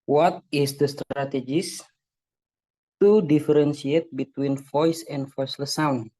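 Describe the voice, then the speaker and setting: A man's voice: fairly high pitch, steady tone, unhurried, warm tone. A young man informally asking a question in an online class, hesitant and repeating himself. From Indonesia.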